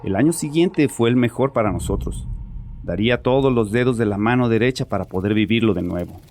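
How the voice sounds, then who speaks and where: A man's voice: medium pitch, brisk, a little mellow, close to an everyday voice. An actor playing a bitter, troubled father confessing defensively and sardonically in a dramatized horror audiobook. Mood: confiding, nostalgic. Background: music.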